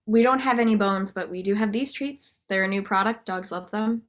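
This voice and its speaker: A woman's voice: mid-range voice, moderate pace, natural voice. A young woman playing a meek, unsure nineteen-year-old cashier, hesitant and apologetic, in an audio drama. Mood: flat, deferring. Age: young adult.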